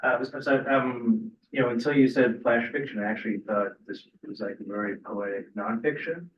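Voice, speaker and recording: A man's voice: mid-range voice, fairly even intonation, fairly quick, slight background noise. A man from the United States asking a long, rambling question from the audience. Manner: rambling, searching for words. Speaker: audience member. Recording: distant, roomy, muffled mic.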